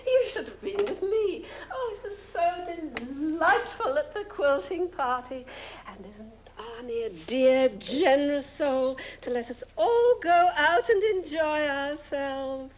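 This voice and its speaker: A woman's voice: high-pitched, lively intonation, measured pace, a little hiss or hum. A woman playing a sweet, dotty, genteel older spinster in a horror-comedy film, fluttery and cloyingly polite, on a dull soundtrack. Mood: chirpy. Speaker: actress.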